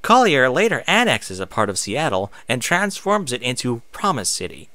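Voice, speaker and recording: A man's voice: medium pitch, sing-song, normal speed, bright, forceful tone, no room sound. A man reading aloud in a casual way, sometimes stumbling and slipping into off-script asides. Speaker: online reader and streamer.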